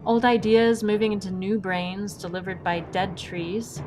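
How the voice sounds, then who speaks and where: Actress as polite swashbuckling heroine, medium pitch, wry, composed, philosophical, audio drama over music. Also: female voice, little pitch movement, a little slow, lightly acted. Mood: wryly musing. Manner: polite, unruffled, wryly philosophical. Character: composed, courteous, witty female masked swashbuckling heroine.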